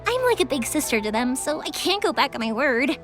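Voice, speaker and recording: A woman's voice: high-pitched, big pitch swings, fairly quick, a bit bright, soft background music. A young woman voicing a cheerful, easygoing, big-sisterly fireworks maker in a video game, chatty and friendly. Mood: friendly, upbeat. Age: young adult. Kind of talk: English video game character voice acting.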